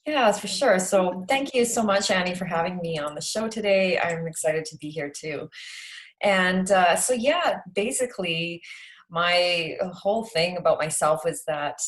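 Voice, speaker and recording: A woman's voice: on the low side, expressive, a little slow. A woman in her late forties from Canada, a health coach talking as a podcast guest in a chatty way with many fillers. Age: late 40s.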